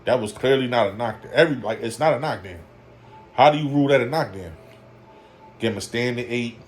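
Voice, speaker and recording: A man's voice: mid-range voice, animated, fairly quick, slight background noise. A man in his forties talking casually in a boxing vlog, loose and rambling, leaning on fillers and repeating his points for emphasis. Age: 40s. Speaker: boxing commentary vlogger.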